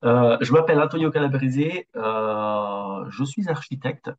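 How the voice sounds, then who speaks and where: A man in his forties from Italy with an Italian accent, a bit ill, presenting a webinar as a consultant and speaking haltingly with many hesitations. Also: mid-range voice, muffled recording. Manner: halting, with frequent hesitations and restarts. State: slightly ill.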